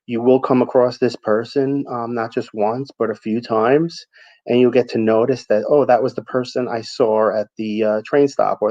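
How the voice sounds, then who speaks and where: A man with a New York accent hosts a live psychic reading, answering viewers in an earnest, halting way full of ums and restarts. His voice sits at a middle pitch. The stream audio is a little dull and lo-fi. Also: a little mellow. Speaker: psychic reader, show host.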